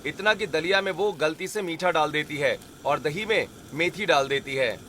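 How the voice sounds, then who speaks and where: A man's voice: a little high, normal speed, sharp tone, slight background noise. A man narrating a scripted domestic family story in Hindi.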